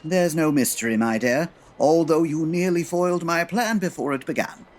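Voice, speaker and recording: An actor playing a haughty, brilliant gentleman detective in a comedy audio drama, speaking formally with precise diction. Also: fairly high pitch, moderate pace, slight background noise, close to an everyday voice. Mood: smug, explaining.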